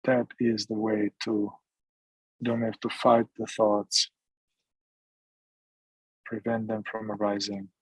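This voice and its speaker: Male meditation guide, husky and very even in pitch, gentle phrasing with long pauses. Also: no echo, poor audio. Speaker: meditation teacher. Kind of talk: guided meditation, mindfulness instruction.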